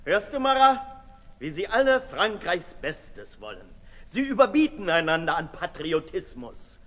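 A cynical aristocratic philosopher in an old radio drama. He declaims mockingly, his high voice very animated. Long reverb trails his words. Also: a little slow, heavily acted. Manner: sardonic, declamatory, swinging from hushed to loud. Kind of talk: 1960s German radio drama oratory.

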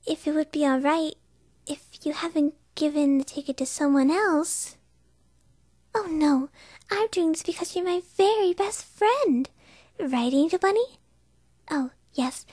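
A woman voicing a timid, gentle female cartoon pony who speaks shyly and hesitantly. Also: high-pitched, a little slow, dry recording, clean studio sound. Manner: shy, hesitant, breathy and quiet. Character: timid gentle female pony, soft-spoken animal lover. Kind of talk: cartoon voice acting reel.

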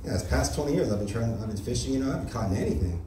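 A young American man doing a casual stand-up set on a small club stage, with a deadpan delivery and frequent "right?" and "you know?". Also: medium pitch, very fast, slight background noise. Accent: General American. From United States. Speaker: stand-up comedian. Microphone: handheld mic on stand. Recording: roomy club sound from a far camera.

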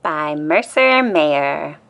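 A woman reading a children's picture book aloud as an expressive, deliberate bedtime story. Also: deep voice, dull audio. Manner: deliberate, acts out quoted lines in a child-directed way.

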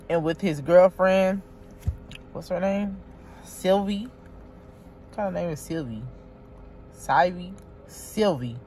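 A woman vlogging from inside her car, reading aloud haltingly and chatting straight to her viewers. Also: on the low side, a little hiss or hum. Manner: halting read-aloud, stumbles and restarts; chatty, talks directly to viewers. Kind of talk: casual vlog, reading aloud.

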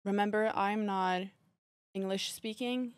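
A young woman from Norway whose first languages are Norwegian and Spanish, a chatty and excitable reaction host talking into a headset mic. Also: medium pitch, a little slow, over loud music. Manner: chatty, excitable, talks straight to the viewers. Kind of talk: casual movie reaction chat. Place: bedroom streaming setup.

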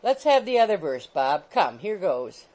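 A woman voicing a hearty young gentleman in an audiobook, bold, jovial and eager for mischief. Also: lowish voice, big pitch swings, a little slow.

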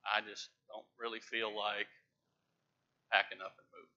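A middle-aged man preaching from a church pulpit, stressing key words with emphatic, rising loudness. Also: fairly low pitch, flat delivery, moderate pace. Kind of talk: sermon. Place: large church sanctuary with pews. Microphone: lapel mic, far camera. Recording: dull church livestream audio.

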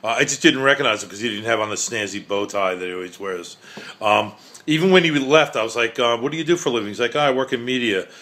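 A man's voice: mid-range voice, fast, pushed and bright. Chatty New York man filming on a camcorder. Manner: chatty, blunt, self-mocking. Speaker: vlogger filming a stranger. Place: outdoors in a city park, then indoors at home. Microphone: handheld camera. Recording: outdoor camcorder audio with faint background noise.

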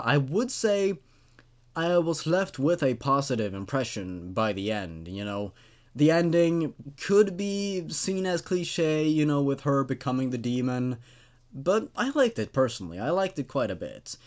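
A man's voice: medium pitch, darkish tone. Young man presenting horror stories. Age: 20s. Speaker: host reading and reviewing horror stories. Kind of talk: dramatic story reading and casual commentary.

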